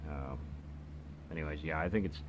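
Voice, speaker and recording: Male hobby reviewer, extremely low voice, casual and hesitant, home video with clear noise. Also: normal speed. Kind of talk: casual product demonstration. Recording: noisy home video recording. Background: clear background noise.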